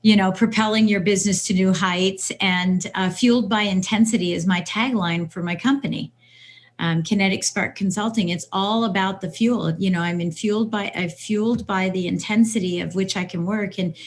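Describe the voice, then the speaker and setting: A woman's voice: medium pitch, steady tone, normal speed. An older woman, around sixty, talking conversationally as an interview guest, giving motivational advice and repeating phrases for emphasis. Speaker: podcast guest, business coach.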